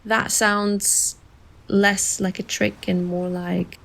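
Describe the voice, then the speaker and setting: An actress as a playful, curious young woman in a dreamy romantic audio drama. She teases in an unhurried way, with a creaky edge to her voice. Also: medium pitch, level tone, a bit bright, heavily acted. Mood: curious, teasing. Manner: teasing, playful.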